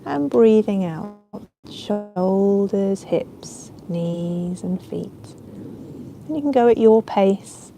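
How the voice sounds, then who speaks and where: A woman leading a guided relaxation as a yoga nidra teacher, speaking in a soothing, unhurried, gentle way with ambient sound around her. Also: mid-range voice, lively intonation, slight background noise.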